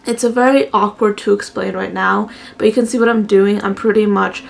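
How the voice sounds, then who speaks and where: A woman's voice: mid-range voice, steady tone, fairly quick, gravelly. A young woman vlogger chatting casually through a makeup tutorial, rambling along with plenty of fillers.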